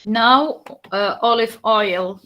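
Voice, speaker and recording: A woman's voice: on the high side, slow, lo-fi sound. A woman from Tunisia with a Tunisian accent, a native Arabic speaker, giving a slide presentation in a hesitant way, with many fillers and restarts.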